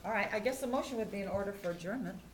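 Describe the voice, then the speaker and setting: A woman's voice: medium pitch, rapid-fire. An older American woman, a mayor chairing a public meeting and making informal remarks. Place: indoor council meeting room. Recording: echoey meeting room with background noise.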